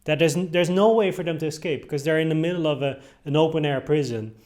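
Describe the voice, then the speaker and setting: A man's voice: on the high side, quick pace, darkish tone. Dutch-raised man, hesitant video commentary. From Netherlands. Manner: hesitant, many fillers and restarts. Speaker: video host commenting on clips. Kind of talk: casual commentary.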